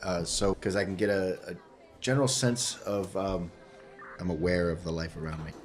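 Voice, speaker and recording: A man's voice: deep voice, steady tone, moderate pace. A man speaking casually as a player in a tabletop role-playing game.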